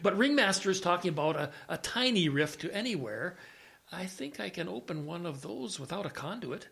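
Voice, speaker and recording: A man acts out a blind major who dabbles in science for an audio drama. His voice is rough and husky, swinging widely in pitch. He is wry and authoritative, muttering one moment and ordering the next. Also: expressive, a little fast, a bit bright, full character voice. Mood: thoughtful.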